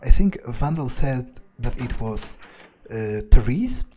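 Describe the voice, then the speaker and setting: A man playing a wary vampire in a video game, thinking aloud in a halting, muttering way. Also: mid-range voice, lively intonation, mellow, faint music behind. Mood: musing, wary. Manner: halting, musing, muttering with hums. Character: wary male vampire muttering his thoughts while exploring. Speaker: gameplay streamer playing a character. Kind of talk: in-character roleplay commentary, thinking aloud.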